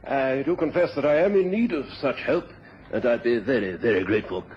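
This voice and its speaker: An actor playing a genteel but stern country squire in a radio drama, courteous and hesitant, with polite hems. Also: male voice, medium pitch, fairly quick, lightly acted. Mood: courteous, hearty. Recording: dull, noisy old radio recording.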